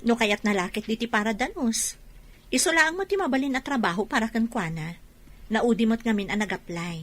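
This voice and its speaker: Radio drama employer played by a woman, businesslike yet sympathetic, somewhat high register with animated melody. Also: a little fast. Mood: matter-of-fact. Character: businesslike but soft-hearted woman hiring lodging staff. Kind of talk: Ilocano radio drama acting.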